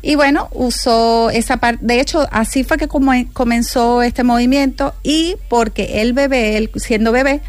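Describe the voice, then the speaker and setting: A woman from Venezuela in her thirties, talking as a guest about her handmade craft business in a lively, repetitive way. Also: fairly high pitch, normal speed, few pauses, no echo. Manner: lively, repeats words for emphasis. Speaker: guest, piñata maker. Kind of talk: casual radio chat.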